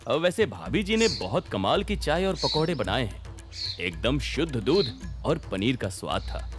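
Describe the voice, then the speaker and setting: A man voicing a calm, suspicious young city policeman in a children's cartoon story, speaking politely and with measured, probing words. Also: medium pitch. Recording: music behind the voice.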